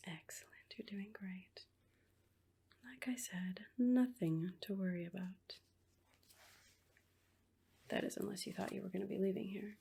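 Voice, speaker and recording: A woman playing a sinister asylum nurse in a horror ASMR roleplay, whispering in a breathy, coaxing, falsely reassuring way. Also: medium pitch, dark tone. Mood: quietly menacing. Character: sinister asylum nurse, soothing on the surface, quietly menacing.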